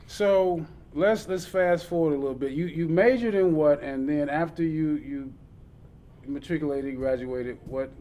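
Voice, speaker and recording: A man's voice: fairly high pitch, measured pace, mellow. A man hosting an interview, asking questions in a loose, conversational way. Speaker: interview host. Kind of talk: casual interview.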